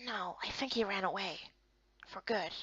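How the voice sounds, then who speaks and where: A woman voicing a gentle, kindly young man in a fantasy game story, soft-spoken and halting. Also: mid-range voice, breathy edge, highs cut off. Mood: disappointed.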